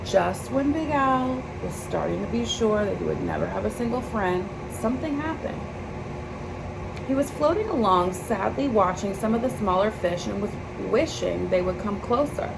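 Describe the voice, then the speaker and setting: A woman reads a picture book aloud as a storyteller for children. Her medium-pitched voice has a creaky fry to it. A clear layer of background noise sits behind the narration. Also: expressive, normal speed.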